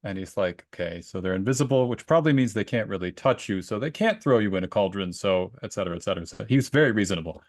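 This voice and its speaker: Male podcast co-host, lowish voice, dry close sound, conversational storyteller who slips into quoted dialogue. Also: animated, very fast, few pauses, no echo. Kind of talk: casual book discussion with personal anecdotes.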